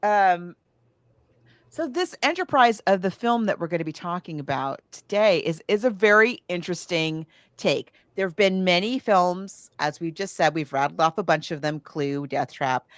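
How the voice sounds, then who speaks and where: A woman podcast host with a slightly low, very lively voice. She tells stories casually and ramblingly, slipping into quoted lines as she goes. The sound is dry, with little room on it. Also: moderate pace, dry recording. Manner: rambling, animated storyteller who acts out quotes. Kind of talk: casual chat about books and films.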